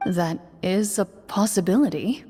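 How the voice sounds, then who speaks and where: An actress playing a composed, stern young duelist in a video game, narrating as game master in a formal, deliberate, deadpan way. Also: female voice, medium pitch, lightly acted. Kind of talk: measured tabletop narration in game acting. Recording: music behind the voice.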